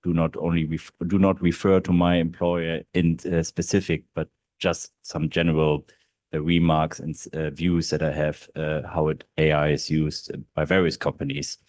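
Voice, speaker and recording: A man's voice: a bass voice, flat delivery, normal speed, darkish tone, no room sound, studio quality. A man from Germany with a German accent, speaking as in-house counsel on a panel, hesitant with many fillers. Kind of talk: panel talk at a law event. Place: large historic hall.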